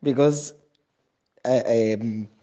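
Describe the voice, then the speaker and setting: A young man from Argentina with an Argentine Spanish accent and a dark, relaxed voice. He answers interview questions casually, pausing to search for words. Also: mid-range voice, dull audio. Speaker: Zumba instructor, interview guest.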